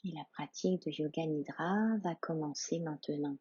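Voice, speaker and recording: Low, breathy woman guiding meditation slowly. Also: dry recording, highs cut off. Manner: hushed, calm, soothing, with long pauses. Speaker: yoga nidra instructor. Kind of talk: guided meditation, soft slow instruction.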